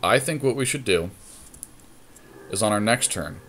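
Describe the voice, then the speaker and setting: Man, low voice, podcast co-host, casual game commentary, conversational, thinking out loud. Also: animated, moderate pace, a little hiss or hum. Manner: conversational, thinking plays aloud.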